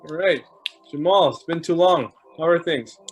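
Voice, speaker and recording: A man's voice: fairly high pitch, normal speed, soft background music. A man hosting an online video call, chatting casually and greeting people one by one while he jokes about stalling. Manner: chatty, joking, warm greetings to each arrival. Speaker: host.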